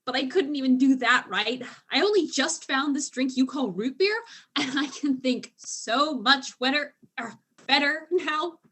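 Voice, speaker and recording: A woman's voice: high-pitched, a little slow, fairly bright tone. A woman playing a guileless, tipsy alien trade spy in a comic mystery, earnest and muddled in manner.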